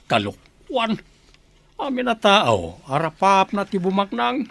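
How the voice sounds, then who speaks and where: Guy playing a skeptical ilocano farmer with big plans, slightly high voice, dry radio drama sound. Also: wildly swooping pitch, slow, gravelly, a bit bright, no echo, heavily acted.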